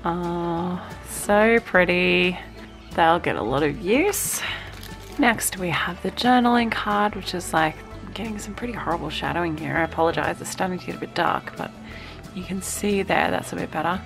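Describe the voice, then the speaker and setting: A woman's voice: lowish voice, normal speed, gravelly, faint music behind. Chatty woman with a stuffy cold. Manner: chatty and excitable, cooing over small items. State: slightly nasal from a cold. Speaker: vlogger unboxing a stationery subscription box. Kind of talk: casual unboxing chat.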